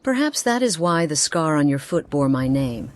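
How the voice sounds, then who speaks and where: A woman's voice: deep voice, big pitch swings, normal speed. An actress playing a grave, haunted woman companion in a fantasy game, speaking formally and earnestly. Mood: concerned, searching. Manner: formal archaic phrasing, earnest. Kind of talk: dramatic fantasy game voice acting.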